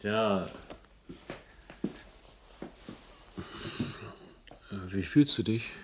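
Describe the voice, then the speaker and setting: A man's voice: fairly low pitch, slow pace, background noise. An actor playing a wry, playful man who narrates his own crime story in a radio drama, with a literary turn of phrase. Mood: subdued, apologetic. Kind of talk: radio drama acting with first-person narration.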